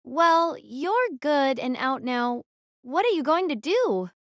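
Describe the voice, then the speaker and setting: Woman acting guarded teen heroine, first-person audiobook drama, higher pitch, very dry sound. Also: expressive, slow pace, fairly bright tone, no room sound, full character voice. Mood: suspicious, guarded. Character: guarded, wary teenage girl archer narrating her story.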